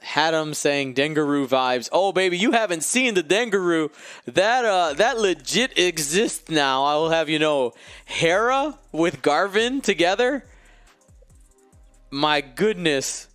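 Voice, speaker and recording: Smooth-voiced, playful male game commentator. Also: on the high side, animated, unhurried, a bit bright, dry recording. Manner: chatty, playful banter with viewers. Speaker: stream co-host and commentator. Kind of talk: live commentary on a tabletop miniatures game. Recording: close dry mic with faint music.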